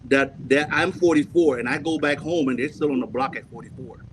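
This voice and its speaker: A man in his forties from Jamaica, hosting a heated panel debate and cutting in forcefully to hold the floor. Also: medium pitch, fairly quick, background noise. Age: 40s. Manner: forceful, keeps cutting in with "hold on" and repeats himself. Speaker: host.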